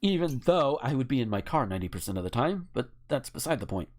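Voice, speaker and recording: Dude on a podcast, casual rambly chat, kinda wry, has opinions, mid voice. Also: male voice, medium pitch, very animated, fast talker. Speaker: podcast co-host.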